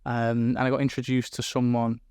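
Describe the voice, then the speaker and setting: A man's voice: mid-range voice, a little fast, clear, resonant tone, no echo. Mild Scouse man, dry sound. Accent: Liverpool, mild. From England. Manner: relaxed, rambling with fillers. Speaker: guest, recruitment business owner. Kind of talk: casual interview chat. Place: room in Dubai.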